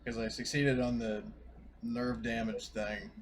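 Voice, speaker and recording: A man's voice: medium pitch, little pitch movement, measured pace. A man chats as a player in a tabletop game, taking his time over his words. He is sweary and playfully cocky, with faint noise behind him. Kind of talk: casual gaming chat.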